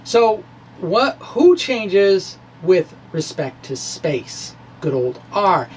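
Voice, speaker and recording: High-pitched, halting middle-aged man explaining. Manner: halting, hesitant, wry asides. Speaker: physics blogger and lecturer. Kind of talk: informal physics lecture with derivations. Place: small indoor room with bookshelves. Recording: clear steady background noise.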